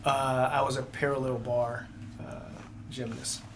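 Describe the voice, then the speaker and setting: Older man answering with halting fillers. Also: mid-range voice, little pitch movement, slight background noise. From United States. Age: 60s. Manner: halting, many fillers and restarts. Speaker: police chief guest. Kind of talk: interview answers.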